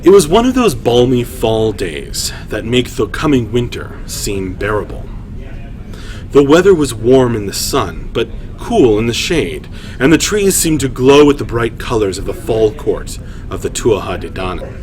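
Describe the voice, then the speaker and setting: A man hosting a story reading, shifting between informal, halting chat and measured storytelling narration. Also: mid-range voice, sing-song, darkish tone. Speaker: writer and host reading his own story aloud. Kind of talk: livestream story reading, narration with casual asides.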